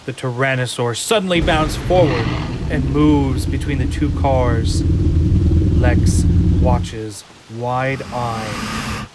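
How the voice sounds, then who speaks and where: A man narrating an audio drama from a script in a deliberate, suspenseful way. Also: medium pitch, very noisy. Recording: loud rain and storm effects behind.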